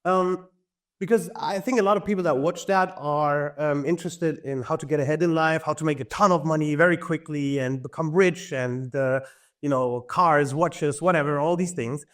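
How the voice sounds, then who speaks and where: A man's voice: fairly high pitch, moderate pace. A man, a podcast guest talking in an easygoing way with frequent chuckles and fillers. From Germany. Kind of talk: casual interview talk.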